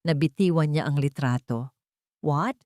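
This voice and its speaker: A woman narrating a scripted romance story dramatically, in suspenseful, short emphatic phrases with pauses. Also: deep voice, lively intonation, a little mellow, dry recording, clean studio sound.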